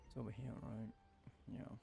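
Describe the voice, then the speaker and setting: Gravelly-voiced man thinking out loud. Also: on the low side, little pitch movement, moderate pace, music in the background. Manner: rambling, thinking aloud. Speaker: gaming streamer. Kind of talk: casual let's-play commentary.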